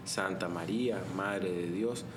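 Low-voiced devout man, echoey room. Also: takes it slowly. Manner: devout, leads prayer. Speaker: co-host. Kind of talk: religious TV talk show and prayer. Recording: roomy room with background noise.

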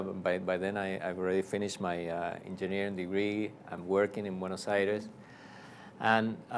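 Low-voiced Argentine priest, halting speech. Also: male voice, slight background noise. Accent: Argentine Spanish. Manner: halting, many fillers and restarts. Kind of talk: casual interview storytelling.

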